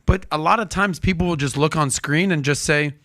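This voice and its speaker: A man co-hosting a podcast, chiming in with quick, casual back-and-forth remarks. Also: on the high side, a bit bright, no room sound, clean studio sound. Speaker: podcast co-host. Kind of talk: podcast discussion.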